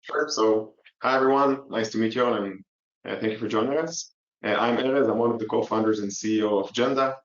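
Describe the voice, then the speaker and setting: A man from Israel, a company co-founder and CEO, presenting a webinar in a brisk, friendly way with clipped phrases. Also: lowish voice. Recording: roomy, dull lo-fi webinar audio.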